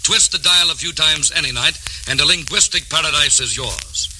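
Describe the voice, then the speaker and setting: 1950s american ad announcer guy, super bright forceful voice, bit high, faint record noise. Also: normal speed, low-quality recording. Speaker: announcer, narrator of a promotional record. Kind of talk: scripted 1950s promotional narration.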